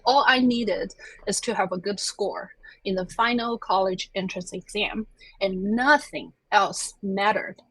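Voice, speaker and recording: A woman's voice: mid-range voice, a little slow, fairly bright tone, breathy edge, no echo, rough recording. A woman in her thirties from China, a native Chinese speaker, telling her life story in a chatty, self-deprecating way as an interview guest. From China (Chengdu). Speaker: interview guest, plant scientist.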